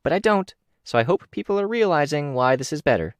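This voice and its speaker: A man voicing an excitable, talkative teenage girl in an audiobook. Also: fairly high pitch, smooth, no echo, voice pushed up. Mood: eager. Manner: eager, quick, talkative.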